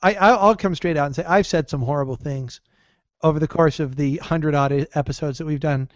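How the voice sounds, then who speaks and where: A man co-hosting a podcast in casual chat, with a raspy voice today, telling jokey, rambling stories. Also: fairly high pitch, a little fast, no echo, highs cut off. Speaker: podcast co-host.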